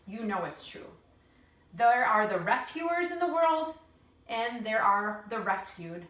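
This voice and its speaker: Earnest, indignant storybook girl, voiced by a woman, emphatic and solemn, animated pitch, echoey room. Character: earnest, indignant young girl narrating her troubles. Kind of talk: expressive read-aloud of a children's novel. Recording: roomy echo, distant mic.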